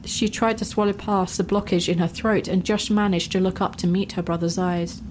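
A woman's voice: medium pitch, steady tone, moderate pace, a little mellow, slight background noise, poor audio. A woman narrator reading a scripted fantasy story.

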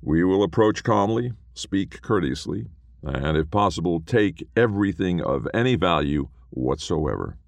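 A man voicing the polite, charming leader of a gang of ghost outlaws, speaking in a smooth, formal way. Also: deep voice, big pitch swings, unhurried, dry recording, voice pushed up, full character voice. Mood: gallant.

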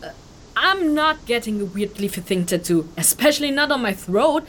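A high, very animated voice for a young runaway village girl in a fantasy audio drama. She is sassy and excitable, yet means well, with informal, exclamatory delivery. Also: normal speed, slight background noise. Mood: indignant.

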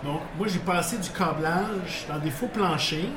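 A man's voice: high voice, measured pace. Quebec French man, casual guest storyteller, rambling and chuckling, roomy noisy bar recording. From Portuguese background, living in Quebec. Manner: rambling, many restarts, chuckling. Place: bar with live audience. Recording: echoey bar with background noise.

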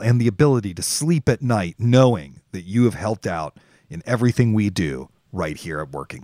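A man's voice: on the low side, a little mellow, no room sound, studio quality. A man reading a scripted promotional announcement as a podcast host.

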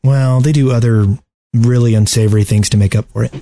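A man with an average-pitched voice banters as a podcast co-host. His delivery is casual, playful and tongue-in-cheek. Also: fairly even intonation, brisk, mellow.

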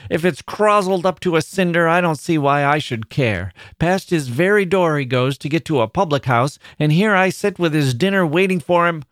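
A man's voice: a little high, normal speed, few pauses, no room sound, studio quality, pitched above the performer's own voice, close to an everyday voice. A man voicing a weary, irritable, bitter working-class mother in an audiobook, scornful and using dialect turns of phrase. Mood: angry. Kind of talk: literary audiobook character voice.

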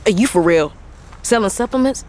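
An actress playing a stressed, sharp-tongued, weary female long-haul trucker in a naturalistic audio drama. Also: mid-range voice, animated, fairly quick, a little hiss or hum, natural voice. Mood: exasperated. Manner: blunt, exasperated.

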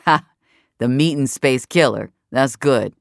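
A woman's voice: a bass voice, a little slow, vocal fry, no room sound, studio quality, full character voice. A woman voicing a cocky, scornful male gamer in an audio drama, mocking and incredulous, with plenty of swearing. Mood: grinning, teasing.

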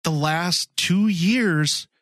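A man's voice: high voice, drawn-out words, a bit bright, no room sound, studio quality. A man co-hosting a casual fan podcast, speaking emphatically and repeating phrases for stress. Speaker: podcast co-host.

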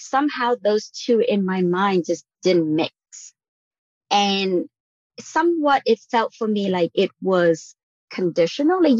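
Woman from Vietnam, podcast guest: earnest, conversational, slightly low voice, close dry but muffled lo-fi sound. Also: dry recording. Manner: earnest, frequent "like" and "right?" tags. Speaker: guest on a real estate podcast. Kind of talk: reflective conversational interview answer. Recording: dull lo-fi audio.

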